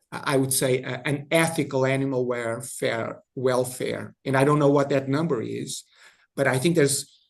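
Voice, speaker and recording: Brazilian-accented man with a gravelly voice. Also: mid-range voice. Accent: Brazilian Portuguese. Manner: halting, many restarts and repeated words. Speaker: industry guest. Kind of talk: panel discussion.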